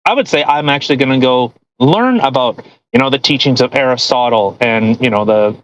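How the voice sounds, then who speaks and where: A woman's voice: rumbling low, fairly quick, dry recording, poor audio. Incredulous, rambling woman podcast host. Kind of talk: casual chat.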